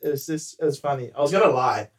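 A man's voice: on the high side, fast talker, a little hiss or hum. Man from new zealand, young adult, casual podcast guest, hesitant ums, easygoing chat. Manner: relaxed, hesitant, many ums, laughs easily.

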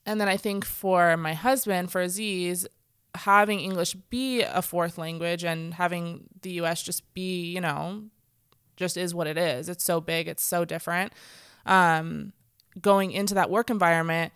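A woman's voice: on the low side, steady tone, a little slow, fairly bright tone, clean tone, dry recording, studio quality. A woman talking in a casual conversation, chatty and full of filler words, acting out quoted speech. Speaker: guest speaker. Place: church stage.

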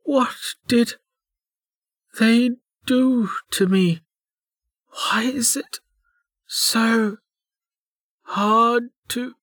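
A man voicing a distraught, disoriented warrior woman in an audiobook, with a halting, gasping, strained delivery. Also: very high-pitched, fairly bright tone, husky, no echo, pitched above the performer's own voice, full character voice. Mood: bewildered, shaken. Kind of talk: audiobook character acting.

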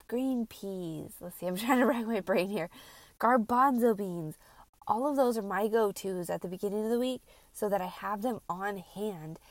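A woman's voice: medium pitch, gravelly, dry recording. A woman hosting a chatty solo podcast, speaking informally and emphatically straight to her listeners, with a stuffy, congested nasal sound. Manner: animated, emphatic, repeats phrases for effect; informal, conversational, addresses listeners directly. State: stuffed-up nose. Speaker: podcast host and health coach for moms.

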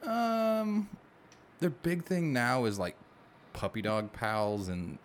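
A man's voice: medium pitch, huge pitch swings, slow pace, a little hiss or hum. A man co-hosting a casual podcast, talking wryly and bluntly about his kids. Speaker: podcast co-host. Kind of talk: casual chat.

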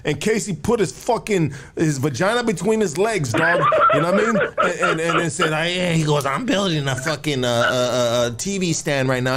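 A man's voice: fairly high pitch, fairly bright tone. A man hosting a call-in show, talking in loose street slang and calling people "dog" and "baby". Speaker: host. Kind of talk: casual call-in show chat.

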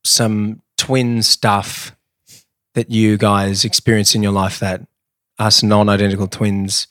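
A man's voice: fairly low pitch, little pitch movement, slow pace, fairly bright tone, dry recording. Male host, rambling and jokey, close dry sound. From Australia. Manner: rambling, playful, laughs easily. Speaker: podcast host. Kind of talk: casual podcast chat.

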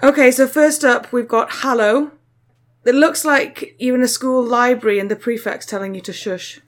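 A woman's voice: a little high, steady tone, moderate pace. A woman hosting a podcast, joking her way through card art in casual chat. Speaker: podcast host.

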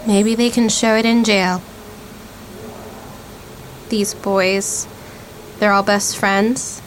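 Thirteen-year-old niece for radio drama, adult female actor: mid-range voice, blunt, guarded, deadpan, slight background noise. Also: mid-range voice, close to an everyday voice. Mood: skeptical. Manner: terse, deadpan. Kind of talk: naturalistic radio play acting.

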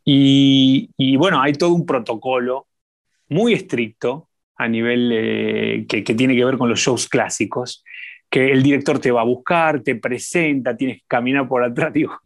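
A man from Argentina with an Argentine accent, a singer being interviewed. He tells stories in a warm, expressive way and lingers on repeated words. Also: medium pitch, unhurried. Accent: Argentine Spanish. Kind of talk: reflective conversational storytelling.

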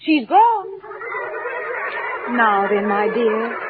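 A woman's voice: on the high side, big pitch swings, extremely slow, heavy background noise, heavily acted. An actress playing a gracious, self-assured good witch in a grand, lilting, theatrical old radio drama style. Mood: reassuring. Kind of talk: 1930s-50s radio drama acting. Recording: tinny old radio broadcast, faint music.

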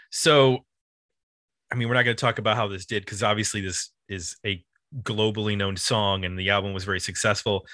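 Low-voiced American man, bright and dry. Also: no echo. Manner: self-deprecating, meandering, halting. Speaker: music podcast co-host. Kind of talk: casual album discussion.

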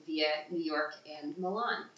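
Bright, low-voiced woman guest, echoey. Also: a little slow. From Michigan, USA. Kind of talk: casual interview chat. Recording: echoey room, dull lo-fi.

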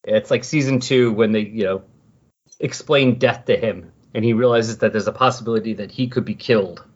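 A man with a slightly husky voice talking casually about comic books as a podcast host, retelling scenes in an animated way. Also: on the low side, brisk, muffled recording. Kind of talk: casual comic book discussion.

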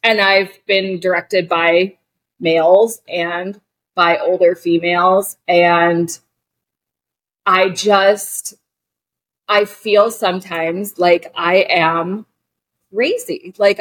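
A woman's voice: lowish voice, extremely slow, long pauses. Candid woman in her forties. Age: 40s. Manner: searching, full of "like" and restarts. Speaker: guest, actor and director. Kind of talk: candid podcast conversation.

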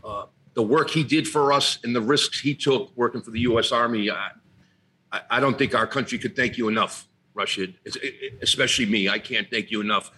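A man co-hosting a podcast, speaking in an emotional, halting way about an old comrade. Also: mid-range voice, pushed and bright, husky, low-quality recording. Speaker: podcast co-host. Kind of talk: conversational tribute.

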